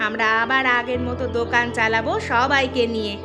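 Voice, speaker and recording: A woman's voice: a little high, little pitch movement, a little fast, over music, natural voice. A woman voicing a young village daughter-in-law in a cartoon, forgiving and resolute, speaking in an earnest, emphatic way. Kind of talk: Bengali moral cartoon dialogue.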